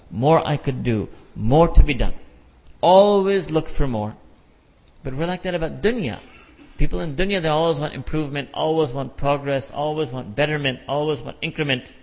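A middle-aged American man giving an emphatic sermon with repeated phrases and rhetorical questions. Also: medium pitch. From United States. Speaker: imam. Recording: dull lo-fi sound with long hall reverb.

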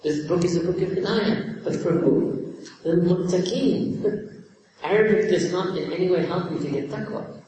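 A man with a non-native English accent preaches in earnest, emphatic tones, repeating key phrases and breaking into Arabic recitation. His fairly high voice carries from a distance across a large hall, with long echoes trailing each phrase. A faint hiss of noise sits underneath. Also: fast talker, mellow. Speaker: Islamic preacher. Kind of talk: sermon. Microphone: distant from mic.

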